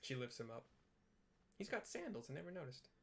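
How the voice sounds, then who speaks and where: A man's voice: medium pitch, big pitch swings, fairly quick, gravelly. A man giving casual running commentary on a video game, chatty and given to rambling asides. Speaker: gamer, let's player. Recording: faint noise, room ambience.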